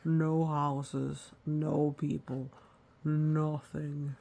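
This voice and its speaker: Storybook walrus, male, gloomy and worried played by a woman: low pitch, dragging delivery. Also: level tone, gravelly, mellow, exaggerated voice. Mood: weary, miserable. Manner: slow, dramatic, dwelling on each misfortune. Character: anxious, gloomy male walrus, imagining disasters. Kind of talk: storybook read-aloud character voice.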